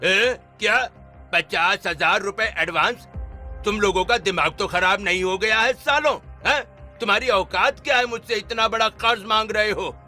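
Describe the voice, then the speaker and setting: A man acts out a bullying contractor in a melodramatic story. His voice is high for a man and piercingly bright, full of scorn and mockery, with music behind it. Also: moderate pace. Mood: scornful anger. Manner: sneering, mocking rhetorical questions. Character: harsh, scornful male construction contractor, greedy bully boss. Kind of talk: melodramatic Hindi story dramatization.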